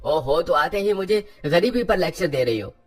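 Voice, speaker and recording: A man's voice: a little high, lively intonation, moderate pace, breathy edge, voice pushed up. A man voicing a drunken, bullying young husband in a cartoon story, harsh, scolding and contemptuous. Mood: angry, sneering.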